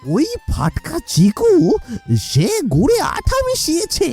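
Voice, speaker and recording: A woman's voice: high-pitched, huge pitch swings, slow pace, mellow, faint music behind, full character voice. A woman voicing a nervous, deferential villager in a cartoon, stammering and questioning anxiously. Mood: alarmed. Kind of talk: cartoon voice acting.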